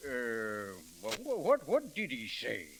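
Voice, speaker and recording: An actor playing a folksy, blustering but good-hearted rancher with an American Western accent, stammering excitedly in old radio drama style. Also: male voice, mid-range voice, takes it slowly, slight background noise, heavily acted. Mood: fumbling. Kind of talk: 1930s radio acting.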